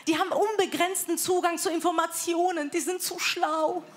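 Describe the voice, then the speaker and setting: A woman's voice: extremely high pitch, fairly even intonation, moderate pace. A woman in her thirties doing brash, self-mocking stand-up comedy on a live stage. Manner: brash and self-mocking, announces acts energetically. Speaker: comedy show host and comedian. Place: live comedy club with audience. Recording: live stage mic, crowd laughter.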